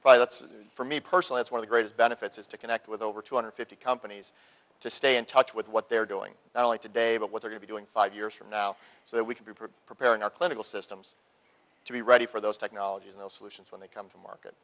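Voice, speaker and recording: A man speaking as a healthcare industry panelist, presenting publicly in deliberate, emphatic phrases. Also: on the low side, fairly even intonation, fairly bright tone.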